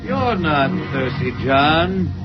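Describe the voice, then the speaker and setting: A menacing alien impostor from an old radio play. He drags every word out extremely slowly in a high, swooping pitch. The recording is muffled and old. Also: very animated. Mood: coldly menacing. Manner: menacing, drawn-out delivery. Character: sinister Martian posing as the captain's brother Ed. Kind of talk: vintage radio drama acting. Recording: dull old radio recording, music behind.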